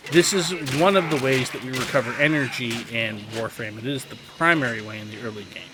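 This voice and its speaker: A man's voice: mid-range voice, fairly quick. A man giving casual, instructional gameplay commentary as a guide host, in an explanatory, slightly wry way. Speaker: video game guide host. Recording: clear background game noise. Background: video game ambience.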